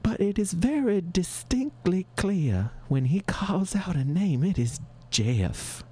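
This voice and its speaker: A prim, flustered middle-aged wife, as a man puts her on, swooping between breathy whispers and lively high notes. She is dramatic and full of sly double meanings, with a put-on regional twang. The voice stays mellow and soft-edged throughout. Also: takes it slowly, heavily acted. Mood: worried. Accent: put-on regional accent. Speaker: advice-show caller. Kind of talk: improvised comedy sketch.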